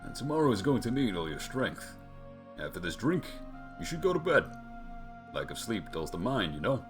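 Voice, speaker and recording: A man voicing a gruff, modest, loyal mercenary from a video game, plain-spoken, folksy and reassuring. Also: lowish voice, sing-song, moderate pace, music in the background. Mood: reassuring, earnest. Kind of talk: acting out video game dialogue.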